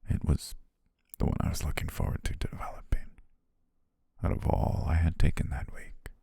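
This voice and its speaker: A man playing an obsessive, menacing photographer in love, speaking slowly and intimately in an ASMR roleplay with a husky, breathy voice. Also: steady tone, dry recording, studio quality, exaggerated voice. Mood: entranced, hushed. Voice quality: very deep, husky, creaky, breathy. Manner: slow, hushed, lingering pauses. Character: obsessive, menacing yandere male photographer stalking his beloved.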